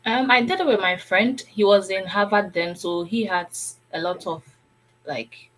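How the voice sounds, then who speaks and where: A young Ghanaian woman speaks as a student guest in a casual conversation. She tells her story informally and presses her points by repeating them, in a mid-pitched voice. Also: measured pace, a bit bright, poor audio. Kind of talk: casual chat recounting personal experience.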